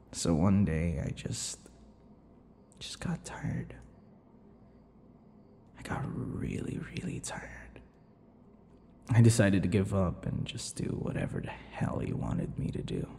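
A brooding, unhinged vampire, acted by a man for an intimate audio roleplay. He speaks low and very slowly in halting, seductive phrases that sink into breathy whispers. Long reverb hangs around every word. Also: slight background noise, exaggerated voice. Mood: resigned. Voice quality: breathy, gravelly, often dropping to a whisper. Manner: slow, halting, dramatic pauses and dark chuckles. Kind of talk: intimate ASMR roleplay monologue.

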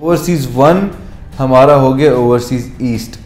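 A man's voice: medium pitch, measured pace, a little mellow. A man gives a casual update to camera from his office, mixing English phrases and fillers into his talk. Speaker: real estate presenter. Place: office, indoors. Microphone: lapel mic. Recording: roomy office echo, faint music.

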